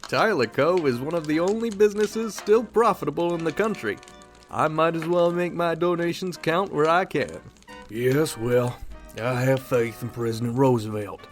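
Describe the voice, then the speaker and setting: A man's voice: fairly high pitch, faint music behind, close to an everyday voice. A man playing a tired, formal 1940s governor in a radio drama. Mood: courteous. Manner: formal, measured old-fashioned politician. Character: weary ambitious 1940s male governor, stiff and formal. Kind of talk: fan radio drama acting.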